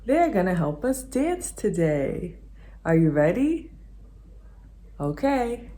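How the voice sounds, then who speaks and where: Woman host of a kids' show, low voice, unhurried rhythmic delivery. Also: sing-song. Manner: slow rhythmic rhyming read-aloud; bright sing-song teacher voice for kids. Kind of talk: reading a picture book aloud to kids.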